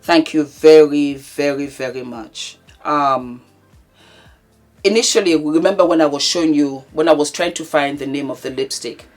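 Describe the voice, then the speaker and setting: A woman's voice: deep voice, moderate pace, a little mellow, faint music behind. A woman in her fifties hosting a casual advice vlog to camera at home, with theatrical, affectionate greetings and laughs. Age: 50s. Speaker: vlogger host. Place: home, indoors. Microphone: camera mic.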